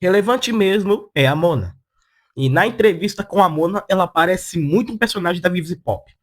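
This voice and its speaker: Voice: mid-range voice, lively intonation, normal speed. A person with a Brazilian Portuguese accent giving casual opinion commentary as a video essayist, in a blunt, slangy, sarcastic way.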